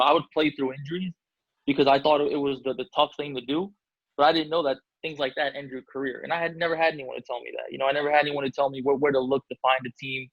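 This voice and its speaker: A man's voice: medium pitch, creaky, dry recording, dull audio. A man from Albania, a basketball agent, answering interview questions casually with many fillers and restarts.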